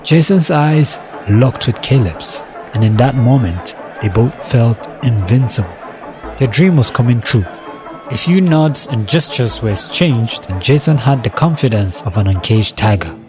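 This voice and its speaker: A man's voice: mid-range voice, animated, measured pace, over music, low-quality recording. A man narrating a scripted dramatic story as its storyteller.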